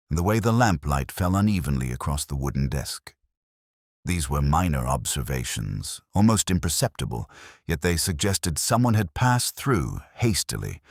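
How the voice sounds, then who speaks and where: A man reading scripted first-person detective fiction in a measured, contemplative, literary manner, as a narrator. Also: low voice, no echo, studio quality.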